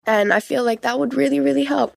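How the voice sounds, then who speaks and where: A woman's voice: sits high, fast, creaky, dry recording. Teenage girl in a dry, close interview. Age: teens. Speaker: podcast guest sharing personal experience. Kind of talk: conversational interview.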